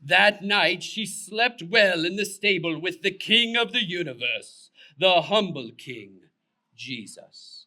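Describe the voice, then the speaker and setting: Male puppet-show narrator: strikingly bright, high voice, expressive storybook delivery. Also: takes it slowly. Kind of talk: scripted children's storytelling.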